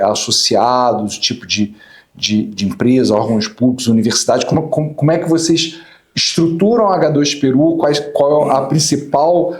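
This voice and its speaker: Brazilian male podcast host, echoey room. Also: medium pitch. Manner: friendly, informal, with filler "né" and "é". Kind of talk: conversational interview.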